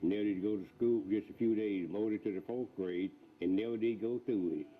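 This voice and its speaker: A man's voice: lowish voice, fairly even intonation, a little fast. A man in his eighties with a Southern US accent, reminiscing in a folksy, plain-spoken rural dialect. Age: 80s. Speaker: elderly farmer, subject of a news feature. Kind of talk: unscripted reminiscing. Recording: thin phone-like sound, faint noise.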